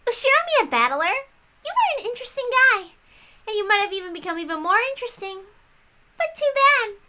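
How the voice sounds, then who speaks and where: Woman voicing a high, bright, gleefully cruel witch girl. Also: big pitch swings, brisk, slight background noise, heavily acted. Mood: mocking. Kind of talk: dramatic visual novel read-aloud.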